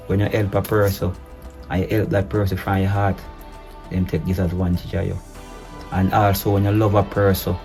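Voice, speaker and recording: A man playing a hurt, betrayed husband, speaking low and measured, then forcefully. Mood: accusing. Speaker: husband in a domestic drama skit. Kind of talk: improvised-sounding skit acting.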